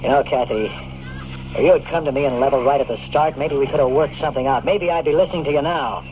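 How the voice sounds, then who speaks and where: An actor with an American accent playing a tough, wisecracking private investigator in 1950s radio drama style, sardonic and full of slang. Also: male voice, medium pitch, fast, natural voice. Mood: fed up. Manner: sardonic, slangy, hard-boiled. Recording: tinny, very noisy old radio recording.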